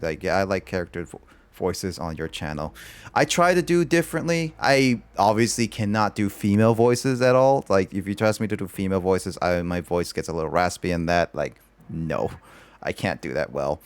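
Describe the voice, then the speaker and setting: A man's voice: fairly low pitch, expressive, normal speed, no room sound. A man chatting casually on a livestream, rambling and filling his talk with "like". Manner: rambling, loaded with "like" fillers.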